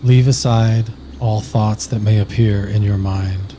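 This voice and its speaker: A man narrating a scripted guided sleep meditation slowly and soothingly, with long pauses. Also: lowish voice, steady tone, background noise.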